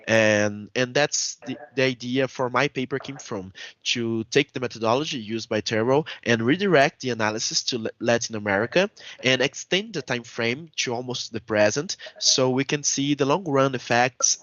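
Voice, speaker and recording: A man's voice: medium pitch, a bit bright, dry recording. A young man with a Brazilian Portuguese accent gives an academic conference talk in a careful, hesitant way, often stopping to rephrase. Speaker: conference presenter. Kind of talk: academic paper presentation. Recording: dull, dry video call audio.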